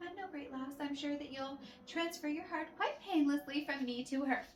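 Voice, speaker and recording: A woman's voice: sits high, moderate pace, natural voice. A woman voicing a hurt, stubborn young lady in love, speaking dramatic rhyming verse from a storybook play. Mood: cool sarcasm. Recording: roomy echo with music behind.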